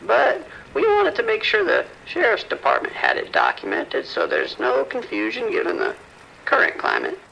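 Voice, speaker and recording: Male actor as formal scientist, very gravelly, wildly swooping pitch, precise, reassuring, audio drama, faint noise. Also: huge pitch swings, moderate pace, pushed and bright, heavily acted, poor audio. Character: polished, measured male research scientist, smoothly reassuring.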